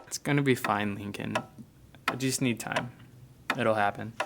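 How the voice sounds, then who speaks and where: Man playing hurt, forgiving teenage boy, creaky voice. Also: lowish voice, steady tone, moderate pace. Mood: tender, forgiving. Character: hurt, forgiving young male high schooler Harker. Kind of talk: audio drama acting. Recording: noisy, hissy dialogue recording.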